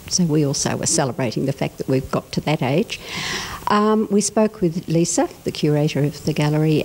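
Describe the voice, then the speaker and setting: A woman's voice: sits low, warm tone, breathy edge, low-quality recording. A woman giving informative interview answers as a representative of a craft group.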